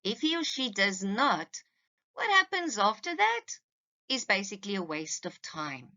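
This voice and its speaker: A woman teaches in a measured, unhurried way, with clear emphasis on key points. Her voice is bright and her pitch moves a lot as she addresses parents directly. The recording is slightly dull and lo-fi. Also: big pitch swings. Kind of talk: slide presentation lecture, instructional.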